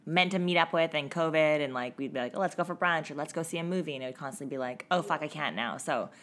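A woman co-hosting a podcast, chatting casually with a voice raspy from a cough, laughing often as she tells stories. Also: deep voice, quick pace, fairly bright tone. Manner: laughs often, loose storytelling with many fillers. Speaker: podcast co-host. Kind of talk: casual chat.

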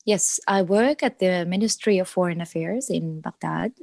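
Woman in her 30s, interview guest, halting with fillers, dry close sound. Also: on the low side, creaky, a little mellow, no echo. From Iraq. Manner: hesitant, many fillers and restarts, laughs lightly. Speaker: guest, diplomat. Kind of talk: casual interview answers.

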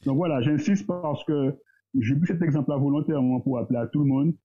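A man, talking as a guest in a casual discussion, thoughtful and hesitant, pausing often. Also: a little high, dark tone, husky. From Guadeloupe. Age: 30s. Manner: thoughtful, hesitant, with many pauses and fillers. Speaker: guest, entrepreneur. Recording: tinny phone-like line.

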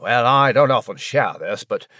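Dapper little English mouse: bright, sharp, animated, fussily polite, cartoonish audio drama. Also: male voice, mid-range voice, normal speed, dry recording, studio quality. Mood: proper, earnest. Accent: British English. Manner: proper, old-fashioned, fussy and cheery. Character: small, polite, plucky English gentleman mouse. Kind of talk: comic audio drama character acting.

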